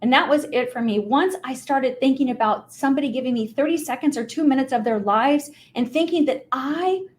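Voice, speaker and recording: Middle-aged American woman, high voice, conversational presenter who speaks straight to listeners with emphasis. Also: fairly quick, hardly any pauses, slight background noise. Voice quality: high-pitched voice. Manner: emphatic, informal, direct address to listeners. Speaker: mortgage professional giving a talk on video marketing. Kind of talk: motivational business presentation, conversational storytelling.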